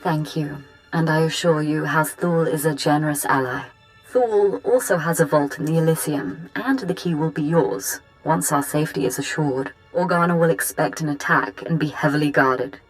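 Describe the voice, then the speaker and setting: Actress as a low-voiced, deferential noblewoman. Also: moderate pace, faint music behind, close to an everyday voice, rough recording. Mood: worried pleading. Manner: formal, deferential. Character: polite, worried noblewoman pleading for help. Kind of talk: dramatic video game acting.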